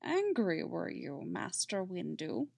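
A woman voicing an ancient, wise alien sage who speaks slowly with inverted word order. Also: fairly low pitch, wildly swooping pitch, gravelly, a little mellow, dry recording, full character voice. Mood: gentle, probing. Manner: inverted word order, slow measured speech.

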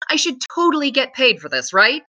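Woman voicing a sharp, brash, cheeky female apprentice. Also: medium pitch, animated, moderate pace. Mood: indignant. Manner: berating, mocking, slangy. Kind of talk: audiobook-style character reading.

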